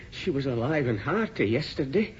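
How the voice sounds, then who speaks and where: A man's voice: mid-range voice, slight background noise, close to an everyday voice. An actor playing a shocked male doctor in a radio drama trailer, urgent and horrified. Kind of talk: 1980s radio drama acting. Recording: dull old radio broadcast.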